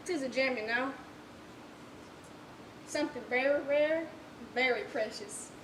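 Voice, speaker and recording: A female performer playing a lofty, theatrical character in a stage play, speaking in ornate, old-fashioned, deliberate phrases. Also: high-pitched. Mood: grand, musing. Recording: noisy, echoey stage performance recording.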